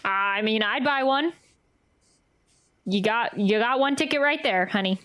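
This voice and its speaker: A woman's voice: fairly high pitch, measured pace, fairly bright tone, dry recording. A woman streaming a game, reacting in casual, chatty, exclamatory commentary.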